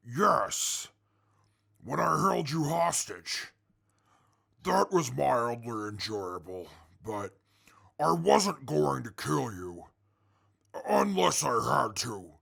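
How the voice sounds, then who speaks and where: An actor playing a proud, irritable super mutant warrior in an audio drama, gravelly and menacing, with stiff, formal phrasing. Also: male voice, mid-range voice, a bit bright, heavily acted. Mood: wry reminiscing. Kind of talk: post-apocalyptic audio drama acting.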